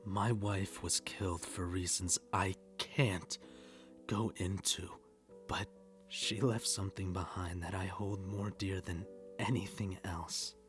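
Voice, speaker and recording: Man acting a slow, bitter, menacing brooding father. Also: deep voice, fairly even intonation, fairly bright tone, faint music behind. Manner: menacing, sardonic, swearing. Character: bitter, unhinged middle-aged widowed father, tender toward a child. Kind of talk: dramatic comic dub voice acting.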